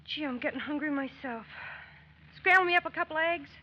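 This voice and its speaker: Actress doing a sassy small town american diner waitress, high voice, teasing slangy talk, dull old radio drama sound. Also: female voice, fairly quick, lightly acted. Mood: bossy, teasing. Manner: folksy slangy banter, nosy and teasing. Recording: muffled, faintly noisy old radio recording.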